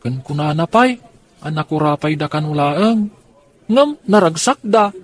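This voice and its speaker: Radio drama role: scheming, eager young son played by a man, high voice, Filipino accent. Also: animated, a little slow. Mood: cheerful, curious.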